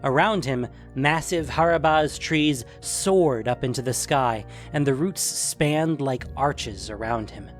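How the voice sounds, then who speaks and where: A man narrating a scripted fantasy adventure story. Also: medium pitch.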